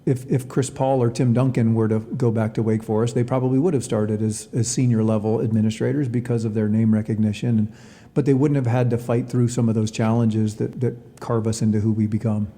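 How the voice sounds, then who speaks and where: A man answering interview questions as a college athletics director, in a measured way with hesitant, repeated words. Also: mid-range voice, fairly even intonation, warm tone, in a large hall.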